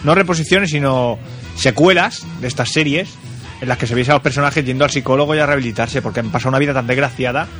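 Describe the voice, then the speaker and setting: A man's voice: mid-range voice, fast, fairly bright tone, faint music behind. A man with a Spain Spanish accent co-hosting casual comedic radio chat, jokey and repeating words for emphasis. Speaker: radio co-host.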